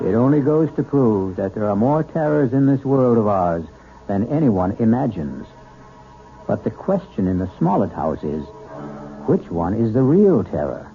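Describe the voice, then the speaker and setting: A man's voice: fairly low pitch, big pitch swings, normal speed, narrow, tinny audio. A man hosting and narrating a radio mystery in a theatrical, portentous 1970s style. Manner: dramatic, portentous. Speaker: host and narrator. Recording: thin old radio broadcast. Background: prominent music.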